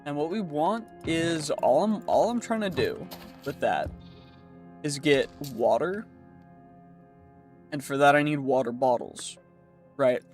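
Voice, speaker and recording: A young male streamer talks through his gameplay, stuffy and congested from a recent cold. His voice sits a little high, and he keeps a relaxed, meandering tone, often telling himself it's all fine. Soft game music plays quietly underneath. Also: slow, long pauses. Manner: easygoing, thinking aloud, self-reassuring. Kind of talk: casual gameplay commentary.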